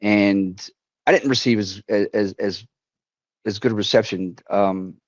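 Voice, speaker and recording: A man, a veteran, telling a personal story at a community council in a halting way, often restarting and quoting others. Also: lowish voice, no room sound, lo-fi sound. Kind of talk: personal story told to an online forum.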